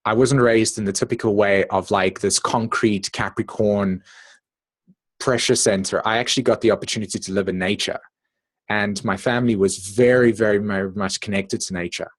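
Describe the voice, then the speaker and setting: A man with a South African accent giving an informal, reflective talk in an online meeting, haltingly repeating words and checking in with his listeners. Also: on the low side, fairly even intonation. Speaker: astrologer presenting at an online meeting. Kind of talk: informal spoken lecture with personal reflections.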